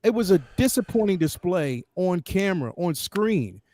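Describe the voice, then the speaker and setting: A man's voice: high voice, very animated, moderate pace, warm tone. A man co-hosting a casual film discussion, giving opinions emphatically and repeating his points for stress. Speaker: co-host.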